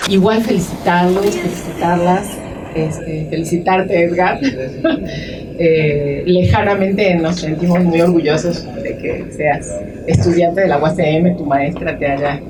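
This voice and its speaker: A woman in her fifties from Uruguay tells a reflective personal story in a panel discussion, pausing often as she thinks aloud. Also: fairly low pitch. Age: 50s. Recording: distant mic with clear noise.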